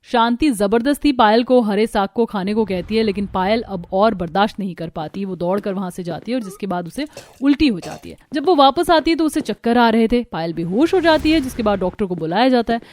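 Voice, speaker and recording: A woman narrating a Hindi cartoon story in a steady, scripted storytelling style. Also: mid-range voice, a little fast, slight background noise.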